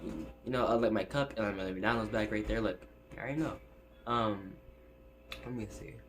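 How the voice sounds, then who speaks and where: A man's voice: lowish voice, brisk. Rambling teen guy who stutters. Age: teens. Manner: rambling, many fillers and restarts. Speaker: YouTuber. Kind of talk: casual vlog chat. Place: his bedroom. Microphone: phone set up in room.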